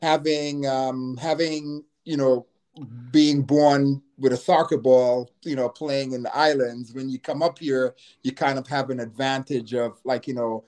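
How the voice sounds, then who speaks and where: A man's voice: mid-range voice, steady tone, unhurried, darkish tone. A middle-aged man from Trinidad, a guest on an interview show, telling his life story in an easygoing way and often filling with you know.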